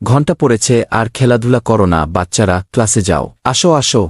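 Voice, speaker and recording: A man playing a kindly schoolteacher telling a children's story in a cartoon, animated in delivery. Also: medium pitch, brisk, very noisy, natural voice. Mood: instructing.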